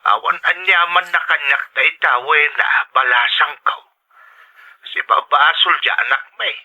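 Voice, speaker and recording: A man's voice: a little high, a little slow, pushed and bright. A man playing a protective, understanding older father-in-law over a phone filter in an audio drama. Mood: supportive, protective. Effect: telephone filter. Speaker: voice actor. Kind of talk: Ilocano audio drama acting. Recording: muffled lo-fi phone line.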